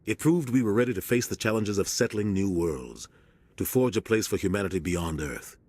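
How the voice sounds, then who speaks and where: An actor playing a serious male starship captain giving a steady, earnest briefing in a video game cutscene. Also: on the low side, a little fast.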